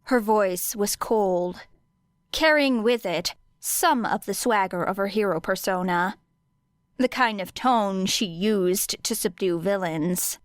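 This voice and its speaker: A woman narrates an audiobook story at an unhurried pace. Her pitch is medium and the recording is very dry, with no room sound. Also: clean tone, dry recording. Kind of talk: scripted fiction narration.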